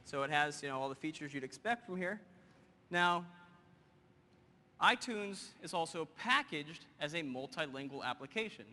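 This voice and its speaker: Energetic man demoing software on a stage. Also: on the high side, faint music behind. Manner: energetic, quick and joking with the audience. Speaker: presenter. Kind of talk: live software demo on stage. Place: large conference hall stage. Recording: hall reverb, faint noise.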